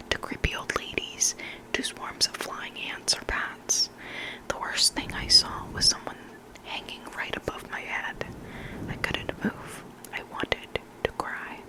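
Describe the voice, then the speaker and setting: A person whispering scary stories in an ASMR reading style, close to the microphone, sometimes repeating words. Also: poor audio. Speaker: ASMR reader of listener stories. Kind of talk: whispered ASMR storytelling, reading creepy anecdotes.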